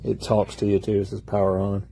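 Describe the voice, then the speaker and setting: A man's voice: on the low side, completely flat delivery, a little fast, creaky, warm tone, slight background noise. A man giving a casual, plain-spoken product demonstration. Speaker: product reviewer.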